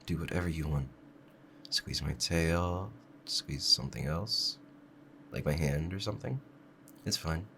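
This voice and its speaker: A man playing a doting, obsessive kitsune husband in an intimate ASMR roleplay, speaking softly and clingily to the listener. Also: rumbling low, creaky, a little hiss or hum, full character voice. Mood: clingy, hesitant. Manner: soft, clingy, adoring, hesitant.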